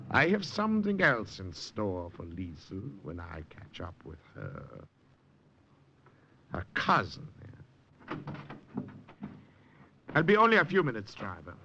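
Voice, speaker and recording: Menacing officer, fake polite then barky, swingy pitch, old muffled radio recording. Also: male voice, pushed and bright, full character voice. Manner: silky mock politeness, turning to barked commands. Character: sly, menacing German army commandant, falsely courteous, given to barking orders. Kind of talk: 1950s radio drama acting. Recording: muffled vintage radio recording, light noise.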